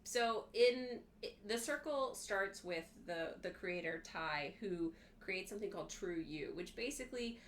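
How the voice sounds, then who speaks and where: A woman's voice: medium pitch, expressive, measured pace, hardly any pauses, fairly bright tone, slight background noise. A woman co-hosting a casual book club livestream, explaining her thoughts point by point.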